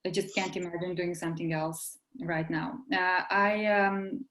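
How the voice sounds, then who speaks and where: A woman from Serbia with a Serbian accent, a translator and interpreter introducing herself to a class, pausing often with filled pauses. Serbian is her first language. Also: lowish voice, fairly even intonation, a bit bright, poor audio. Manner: hesitant, many filled pauses. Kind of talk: self-introduction in an online class.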